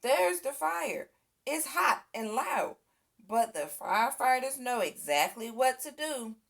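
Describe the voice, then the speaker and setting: Woman reading a children's story, slow and animated. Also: sits high, vocal fry. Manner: expressive, acts out sound effects and exclamations. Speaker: storybook reader. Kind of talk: children's read-aloud story narration.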